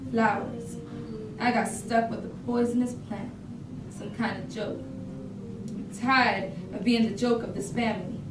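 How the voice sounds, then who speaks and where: A woman's voice: fairly high pitch, fairly even intonation, a little slow, close to an everyday voice. A woman playing a resentful, rebellious youngest sister in a dramatic monologue at a staged reading. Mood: bitter, fed up. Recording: echoey room, distant mic, noisy.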